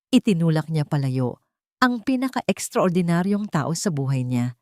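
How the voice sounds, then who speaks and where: A Filipino woman narrates a dramatic story in a fairly low voice with lively, swooping intonation. She delivers it in measured, emphatic phrases, and the recording is close and dry. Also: no echo.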